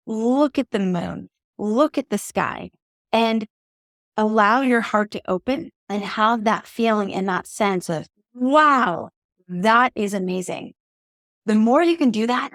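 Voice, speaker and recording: A woman's voice: mid-range voice, dry recording. Earnest woman podcast host, dry close sound. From native English speaker. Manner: earnest, encouraging, steady. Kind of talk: reflective teaching monologue.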